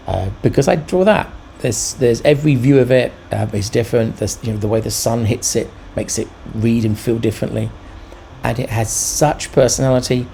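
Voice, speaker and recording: A middle-aged or older man giving a casual, reflective commentary as an artist, thoughtful and halting but enthusiastic. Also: fairly low pitch, gravelly, warm tone, a little hiss or hum. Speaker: urban sketching vlogger and artist.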